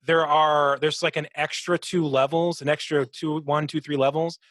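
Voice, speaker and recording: Man chatting on a gaming podcast, slightly high voice. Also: flat delivery, a bit bright, no echo, studio quality. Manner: halting, thinking aloud, repeats words. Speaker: podcast co-host. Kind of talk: casual gaming discussion.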